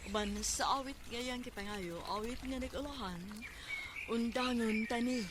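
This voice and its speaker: A woman's voice: fairly high pitch, normal speed. A woman with a Cebuano Filipino accent presenting a scripted radio drama programme as its host. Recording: noisy radio broadcast recording.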